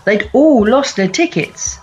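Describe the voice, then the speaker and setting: An actor playing a young junior aide, polite, earnest and a little naive, in an audio drama. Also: male voice, extremely high pitch, lively intonation, slow, darkish tone, a little hiss or hum, heavily acted. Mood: earnest, nervous. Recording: music behind the voice.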